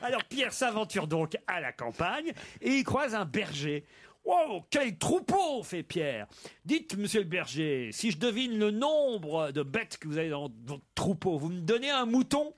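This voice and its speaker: Radio host guy, high lively voice, tells jokes and does little voices. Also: measured pace. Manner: animated, laughing, acts out voices in jokes.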